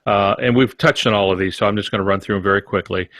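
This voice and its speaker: Older low-voiced man sharing practical advice. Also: fairly even intonation, quick pace, fairly bright tone, no echo. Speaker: podcast co-host. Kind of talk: conversational practical advice from notes.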